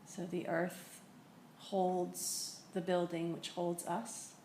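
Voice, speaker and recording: Woman leading a meditation, really slow n gentle, lowish voice, echoey room w faint noise. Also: level tone. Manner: gentle, unhurried instruction with long pauses. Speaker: meditation teacher. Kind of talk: guided meditation. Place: indoor room in a building.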